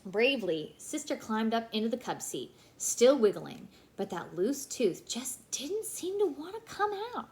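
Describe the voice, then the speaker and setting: Animated woman reading a storybook aloud. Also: on the high side, a little slow, hardly any pauses. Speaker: children's storybook reader. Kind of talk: expressive read-aloud storytelling for young children.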